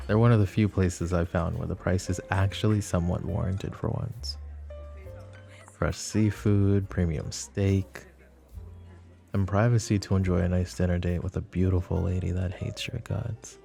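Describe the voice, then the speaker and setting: A smug mafia boss, acted by a man in a romantic audio roleplay, with a low, gravelly voice. He is flirty and possessive, teasing suavely and taking his time between phrases. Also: warm tone, soft background music. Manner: teasing, suave, unhurried with pauses. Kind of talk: romantic ASMR roleplay acting.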